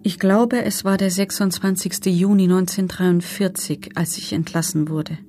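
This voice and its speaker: A low-voiced woman actor plays a former prisoner giving testimony for a radio documentary drama. She speaks soberly and factually, setting out grim memories in measured sentences. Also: steady tone, dark tone, lightly acted.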